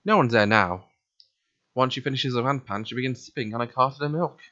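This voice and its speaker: A man's voice: medium pitch, a little fast, sharp tone. Man reading a visual novel, slightly muffled mic. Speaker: let's play reader voicing the protagonist. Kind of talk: reading visual novel text aloud with casual asides.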